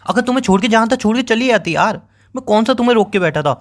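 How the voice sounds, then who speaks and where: Hurt, accusing young boyfriend, somewhat high-voiced man acting. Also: animated, rapid-fire. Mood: anguished, raised. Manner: pleading, sarcastic, repeats phrases for emphasis. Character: hurt, accusing young boyfriend confronting his cheating girlfriend. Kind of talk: dramatized phone-call breakup argument.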